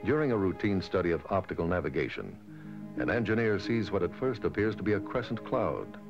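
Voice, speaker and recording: Deep gravelly male documentary narrator. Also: sing-song, fairly quick. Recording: dull, dated lo-fi film soundtrack. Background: music.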